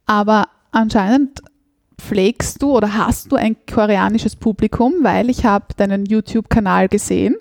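A woman host asking curious, measured questions in an interview. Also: medium pitch, gravelly, no room sound, clean studio sound.

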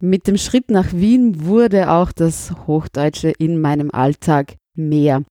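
A woman's voice: sits low, fairly even intonation, slow pace, mellow, dry recording, clean studio sound. A woman teacher from Burgenland with an Austrian accent, answering interview questions in a thoughtful, candid way.

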